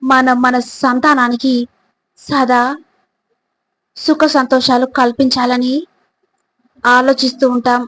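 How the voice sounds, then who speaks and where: Female narrator for motivational quotes: high register, unhurried, deliberate phrasing. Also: dry recording, low-quality recording. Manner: deliberate, phrase-by-phrase delivery with rhetorical questions.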